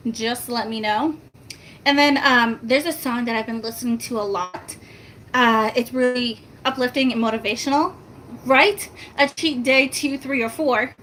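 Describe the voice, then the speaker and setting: A soft-spoken woman, chatting casually and laughing with her viewers in a rambling, self-mocking way. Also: a little hiss or hum, poor audio. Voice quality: soft-spoken, high-pitched. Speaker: family vlogger.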